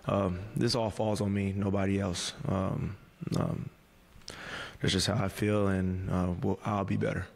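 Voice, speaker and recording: A man in his thirties gives postgame answers in a low, even voice. He speaks slowly and in a measured way, pausing and restarting often. The table mic carries a long reverb from the press room. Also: vocal fry. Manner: measured, halting, many fillers and restarts. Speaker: pro basketball player at postgame press conference. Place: press room before a team backdrop. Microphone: table mic on a stand.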